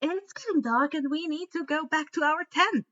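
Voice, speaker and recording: A woman voicing a huffy, exasperated teenage boy who is secretly a dark creature, in an indignant, matter-of-fact way. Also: high voice, normal speed, highs cut off, voice pushed up. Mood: awkward, dry.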